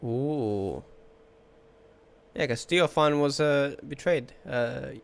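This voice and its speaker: A man's voice: mid-range voice, normal speed, smooth. A man giving casual playthrough commentary on a video game, informally thinking aloud. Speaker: gaming video maker reacting to a game. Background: soft game music.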